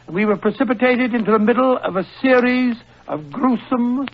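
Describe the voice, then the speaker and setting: An actor playing a middle-aged British doctor, a detective's easily rattled companion, in old-fashioned radio drama style. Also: male voice, very high voice, moderate pace. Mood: grave reminiscing. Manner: blustery, old-fashioned storytelling. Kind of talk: 1940s radio drama acting. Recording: muffled old-time radio recording.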